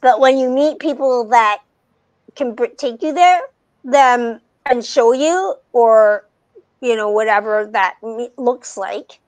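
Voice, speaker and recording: A middle-aged woman hosting a podcast, chatting in an animated way with frequent restarts, on a video call with wired earbuds. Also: a little high, takes it slowly, no echo, rough recording. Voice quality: soft voice. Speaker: podcast host. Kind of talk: casual conversation. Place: home room, video call. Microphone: wired earbuds headset.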